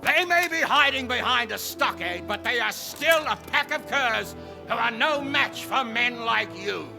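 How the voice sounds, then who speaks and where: High, declaiming medieval war leader. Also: male voice, expressive, measured pace, creaky, over music, exaggerated voice. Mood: fierce, rousing. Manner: oratorical, fierce, declaiming. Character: rousing medieval war leader exhorting his soldiers. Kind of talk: battle speech in video game cutscene.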